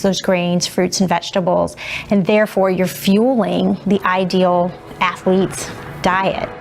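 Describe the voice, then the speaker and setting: A woman's voice: mid-range voice, a little hiss or hum. A woman giving a conversational, explanatory interview as a nutrition expert.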